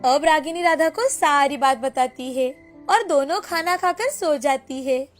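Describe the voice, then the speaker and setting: Female storyteller, high pitch, scripted moral-tale narration, light music underneath. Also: unhurried.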